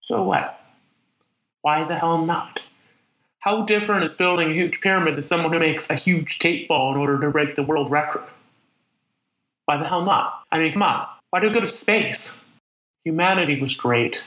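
A man acting in a radio play as a lonely, weary survivor of a disaster, musing aloud in a halting, conversational monologue. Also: a little high, bright, forceful tone, roomy sound, low-quality recording. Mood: indignant, reflective. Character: lonely, weary, reflective male survivor wandering after disaster. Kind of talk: dramatic monologue, spoken like an audio diary.

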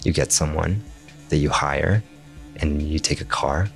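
A man's voice: rumbling low, unhurried, gravelly, soft background music. A young man born in Jordan who grew up speaking Arabic, a Spanish teacher answering interview questions thoughtfully and restarting his words often.

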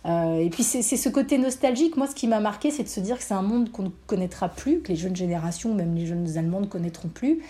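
A woman, a guest, telling personal film memories in an animated, casual way with frequent fillers. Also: medium pitch, fast talker, hardly any pauses. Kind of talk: casual storytelling about a film.

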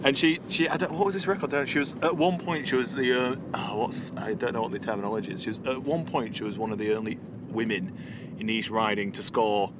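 Man, 20s, rambling, halting, mid pitch, car interior, road noise. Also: steady tone. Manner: rambling, halting anecdotes with fillers. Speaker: podcast co-host, teacher. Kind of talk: casual chat. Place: inside a car.

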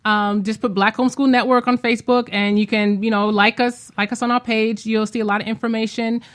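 A woman's voice: on the high side, level tone, brisk. A woman speaking casually as a guest in a radio discussion. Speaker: guest, homeschool group organizer.

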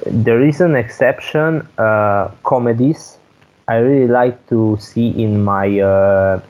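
A man talks slowly and hesitantly, pausing on fillers as he hunts for words. His voice is mellow and dark, and the recording sounds a little dull. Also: medium pitch. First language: Hungarian. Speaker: guest. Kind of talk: casual chat.

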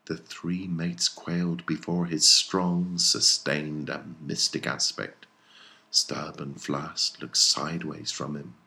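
A man's voice: a bass voice, little pitch movement, slow, gravelly, sharp tone. A man narrating a literary novel as a dramatic audiobook reading.

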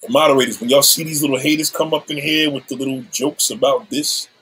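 A man's voice: mid-range voice, fairly even intonation, quick pace, fairly bright tone, breathy edge, poor audio. A man in his seventies ranting on a livestream, combative and profane, issuing threats and calling out rivals. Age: 70s.

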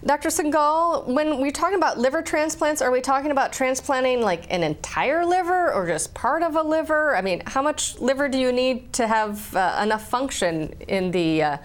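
A woman hosting a medical interview show, asking questions in a friendly, steady way. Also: high-pitched, normal speed, few pauses. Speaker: TV health show host.

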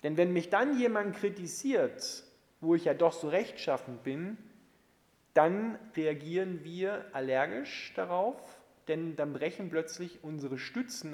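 A man delivering a sermon as a preacher, in an emphatic, rhetorical manner that swings between forceful and hushed. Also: fairly high pitch. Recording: long hall reverb.

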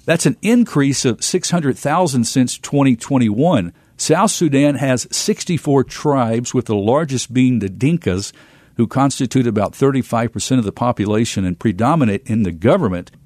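American radio host, dry studio sound. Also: male voice, medium pitch, animated, moderate pace, a little mellow, no room sound.

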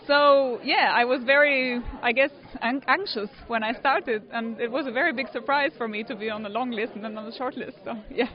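A woman's voice: on the high side, fairly quick, few pauses. A woman author, French native speaker, speaking earnestly in English and searching for her words. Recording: dull audio.

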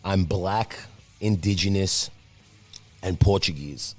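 A man's voice: sits low, slow pace, soft background music. A man raised speaking Italian, chatting casually in a loose, profane, rambling way. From Brazil. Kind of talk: casual chat.

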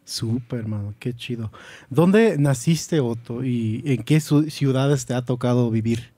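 Man, podcast host, friendly, slangy, mellow tone, dry close sound. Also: mid-range voice, a little slow, no room sound. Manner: friendly, uses Mexican slang. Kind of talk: casual interview.

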